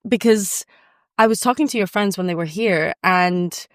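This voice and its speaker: A woman's voice: medium pitch, moderate pace, no room sound, clean studio sound. A young woman from Spain hosting a podcast, chatting casually and asking curious follow-up questions. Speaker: podcast host. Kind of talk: casual conversational interview.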